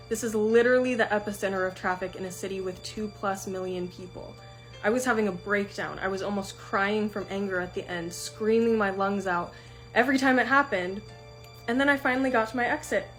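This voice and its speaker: Female storytime host, mid-pitched, reads creepy tales aloud with rising tension over faint music. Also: low-quality recording. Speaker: storytime host reading viewer stories. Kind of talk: reading a first-person scary story aloud, then reacting.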